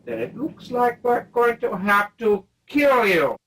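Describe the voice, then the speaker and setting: A villainous interrogator acted by a woman taunts and threatens coldly in a fairly high voice. She sounds set back from the mic, with faint noise around her, as in a comic radio play. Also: sing-song, takes it slowly, recorded from a distance. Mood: taunting menace.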